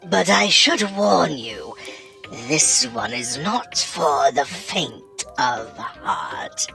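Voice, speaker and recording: A sinister, gleeful hostess of Halloween horror stories, low and theatrical. The voice is gravelly and swings wildly in pitch as each phrase is drawn out with relish, over a bed of music. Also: female voice, very animated, exaggerated voice. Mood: delighted, menacing. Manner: drawn-out, gleefully ominous, cackling. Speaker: host. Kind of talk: theatrical horror anthology narration.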